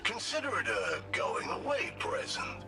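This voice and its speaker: A man's voice: sits high, wildly swooping pitch, a little slow, full character voice. A man playing a gloating, cynical, mischievous monster henchman in a video game, mocking and theatrical. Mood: gloating, taunting. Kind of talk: video game dramatic dialogue. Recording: loud game music behind.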